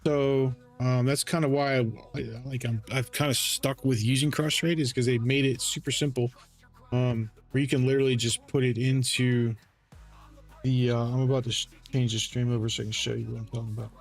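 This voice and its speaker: A man hosting a casual screen-share tutorial, explaining settings in a halting way with frequent self-corrections. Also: mid-range voice, steady tone, creaky. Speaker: trading bot developer and livestream host. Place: live voice call on a screen-share stream. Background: music.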